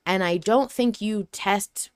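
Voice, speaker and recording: A woman's voice: medium pitch, slow pace, dry recording, clean studio sound. A woman hosting a podcast, casually discussing fiction and thinking aloud, often rephrasing and repeating for emphasis. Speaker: podcast host. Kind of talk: casual discussion of an audio drama.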